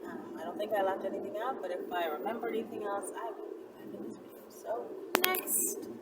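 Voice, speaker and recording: A woman's voice: medium pitch, brisk. A woman talking casually as an online host answering viewers, informal, sassy and emphatic, repeating herself to make a point. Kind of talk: casual chatty vlog talk. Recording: distant mic, loud vehicle-like background noise.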